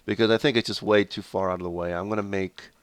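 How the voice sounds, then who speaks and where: A man with a low, nasal voice, his head blocked up by a bad cold, rambles through casual gameplay commentary. His tone stays smooth and even despite the congestion. He's recorded on a close desk mic in a dry, dead room. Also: fast talker, no room sound. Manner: rambling, with many fillers and self-corrections. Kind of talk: casual let's play commentary.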